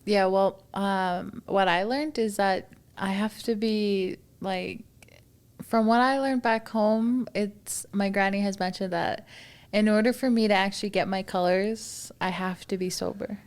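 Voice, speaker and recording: A woman's voice: mid-range voice, fairly even intonation, a little slow, clean tone. Giggly young woman, hesitant speech. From Manitoba, Canada. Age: 20s. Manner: hesitant, many fillers, giggly. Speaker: podcast guest. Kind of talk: casual interview chat.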